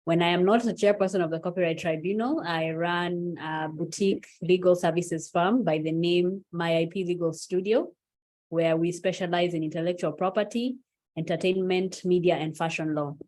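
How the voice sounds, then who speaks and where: A woman's voice: fairly low pitch, steady tone, moderate pace, darkish tone. A woman from Kenya, a lawyer, introducing herself fluently on an online panel.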